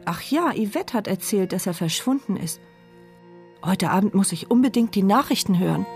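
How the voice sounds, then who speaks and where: A woman's inner monologue in a radio play, voiced by an actress, at a medium pitch. She is dry and sardonic, brooding over what she hears, with music underneath. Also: lively intonation, normal speed, close to an everyday voice. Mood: wry, brooding. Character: blind, mute woman's inner voice, wry, sceptical, brooding.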